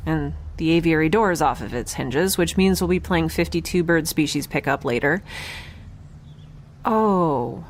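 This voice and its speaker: A woman playing an exhausted, injured but determined young scientist in an audio drama, speaking in a halting, wry way that trails off mid-thought. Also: low-pitched, a little hiss or hum. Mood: tired, wry. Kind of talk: audio drama log monologue and dialogue.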